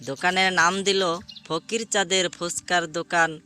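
A man's voice: high voice, steady tone, measured pace, bright, forceful tone, soft background music. A man narrating a scripted moral animal story as a storyteller.

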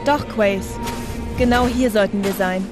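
A woman's voice: mid-range voice, a little slow, music in the background, close to an everyday voice. An actress playing a determined, dry-witted young woman pilot in a science-fiction audio drama. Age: 20s.

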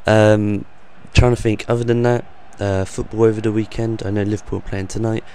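A young man with a croaky voice hosting his gaming channel, rambling off the cuff with lots of ums and uhs over game footage. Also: lowish voice, little pitch movement, a little fast, dark tone, a little hiss or hum.

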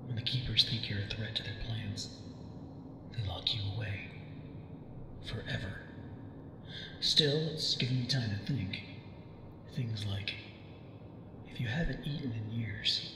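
A weary, whispering prisoner. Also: male voice, lowish voice, gravelly. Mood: cryptic. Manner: hushed, half-whispered, tired and measured. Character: weary long-imprisoned man, calm and cryptic. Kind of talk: video game cutscene acting. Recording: cavernous echo with long reverb and ambient noise.

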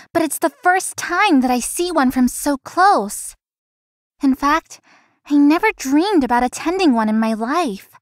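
A woman's voice: high voice, dry recording, clean studio sound. A woman playing an anxious, chatty young florist in a visual novel, talking expressively to herself and others. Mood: wondering. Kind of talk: visual novel voice acting, inner monologue.

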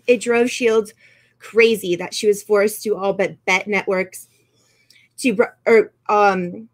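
A woman's voice: medium pitch, steady tone, slow. A woman in her thirties co-hosting a sports talk show over a video call, in a casual style. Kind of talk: casual chat and sports report.

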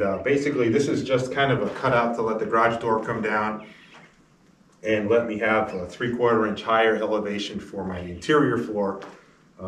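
A middle-aged man explaining a building project in casual, hesitant short phrases with frequent pauses. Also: on the low side, fairly bright tone. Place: pole barn interior and outdoors beside it. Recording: distant mic with faint ambient noise.

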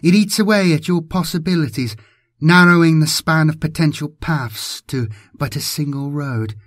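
A man's voice: a little high, moderate pace, fairly bright tone, dry recording, clean studio sound, pitched above the performer's own voice, lightly acted. A man voicing an eerie, sad female mutant who speaks in cryptic prophecy, as an audiobook character. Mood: ominous. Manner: cryptic, portentous.

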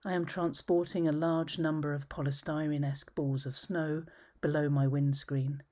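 A woman reading her own poems at home, measured and thoughtful, often trailing into a whisper. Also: deep voice, level tone, warm tone. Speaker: poet. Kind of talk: poetry reading. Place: home study with bookshelves, indoors.

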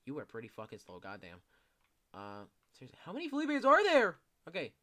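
A young man giving casual, excitable commentary while playing a video game, swearing often and yelling when chased. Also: a little high, brisk. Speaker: gameplay commentator. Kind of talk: casual live gameplay commentary.

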